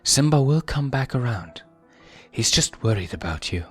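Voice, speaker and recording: A man voicing a wise elderly lioness who speaks softly and consolingly, in a storybook reading. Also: medium pitch, lively intonation, moderate pace, slightly rough, soft background music, pitched above the performer's own voice. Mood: gentle, consoling. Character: gentle old lioness, wise consoling grandmother.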